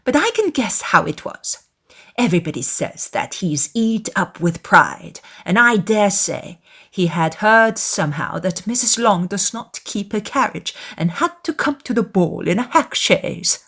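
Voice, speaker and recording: A woman voicing a fussy, excitable middle-aged mother in a dramatized classic novel, emphatic and full of exclamation and indignant gossip. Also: medium pitch, a little slow, husky, full character voice. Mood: scornful, gossipy.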